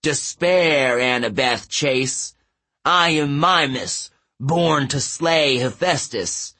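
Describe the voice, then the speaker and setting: Guy doing a big mean storybook giant, growly and rough, taunting reaaally slow. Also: medium pitch, sharp tone, full character voice. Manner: slow, drawn-out, taunting delivery. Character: gloating, menacing male giant, huge, slow and cruel.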